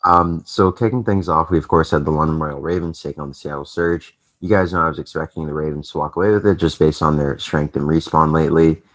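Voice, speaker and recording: Brief: young male esports vlog host, extremely low voice, rambling casual delivery, slightly off from allergies. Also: fairly even intonation, quick pace, few pauses, rough recording. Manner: rambling, chatty, lots of fillers. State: voice affected by allergies.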